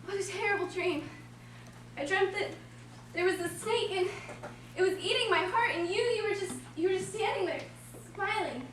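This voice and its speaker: A woman's voice: very high-pitched, moderate pace, pauses often, a bit bright. A woman playing a modest young woman in love in a stage play, coy and prim, recorded from far off in an echoey hall. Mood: frightened. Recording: echoey hall, distant mic, noisy.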